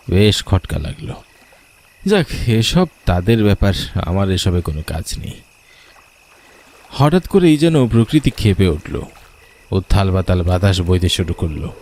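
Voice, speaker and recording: A man's voice: lowish voice, a little mellow, slight background noise. A man narrating a scripted first-person horror story in a dramatic, suspenseful way.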